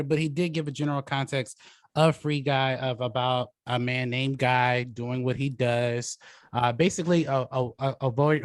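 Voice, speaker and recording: A man hosting and judging a casual debate, speaking haltingly with repeated words and frequent chuckles. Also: medium pitch, dry recording. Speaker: debate host and judge. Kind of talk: casual moderating and commentary.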